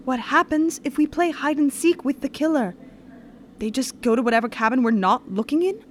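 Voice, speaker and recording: A woman's voice: sits high, normal speed, a little hiss or hum, natural voice. A woman playing a bold, unflappable murder suspect, speaking coolly and assertively in 1930s radio suspense style. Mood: decisive.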